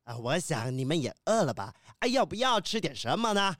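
A voice actor playing a kindly older kiwi bird professor in a children's audio drama, hesitant and gently scolding. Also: medium pitch, very animated, fairly quick, dry recording, clean studio sound. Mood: hospitable.

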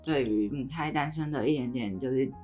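Low-voiced Taiwanese woman hosting a podcast. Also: brisk, a little mellow, faint music behind. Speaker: podcast co-host. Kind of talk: casual chatty hosting.

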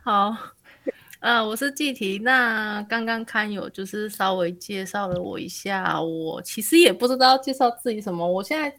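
A woman's voice: mid-range voice, normal speed, a bit bright. A woman with a Taiwanese Mandarin accent chatting casually as a guest author, self-deprecating and exclamatory. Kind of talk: casual chat.

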